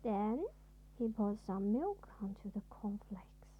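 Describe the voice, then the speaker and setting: A woman narrating a children's storybook as a parent would at bedtime, with deliberate, expressive phrasing. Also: mid-range voice, husky, phone-quality sound.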